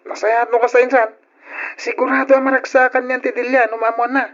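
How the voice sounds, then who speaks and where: An actor playing a lively, teasing, excitable companion in an old radio drama. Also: medium pitch, brisk, close to an everyday voice. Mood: teasing, encouraging. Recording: dull lo-fi old radio recording.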